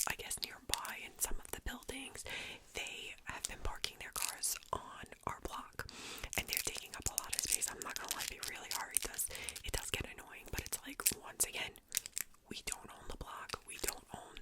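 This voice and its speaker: A woman from New York whispering chatty neighborhood gossip in ASMR style, with casual swearing. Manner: whispering throughout, chatty, swearing, animated retelling. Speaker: vlogger telling neighborhood gossip.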